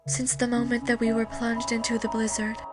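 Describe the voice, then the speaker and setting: An emotionless, taciturn girl played by a woman in an audio drama. Her fairly high voice is utterly monotone and precise, with music behind it. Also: a little fast, lightly acted. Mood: impassive. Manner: flat, precise, deadpan. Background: music under the voice.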